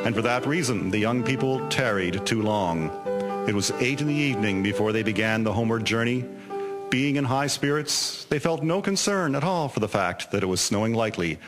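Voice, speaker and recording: A man narrating a historical tale in a measured, solemn, scripted storytelling manner. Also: lowish voice. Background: music with guitar.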